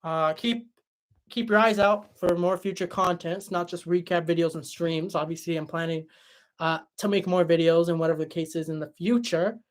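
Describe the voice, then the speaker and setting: A young man hosting a livestream and answering chat in a relaxed, chatty way, his voice tired after hours of talking. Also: on the high side, normal speed. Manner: relaxed, chatty, many fillers and restarts. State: voice tired after a long stream. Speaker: livestream host reading chat.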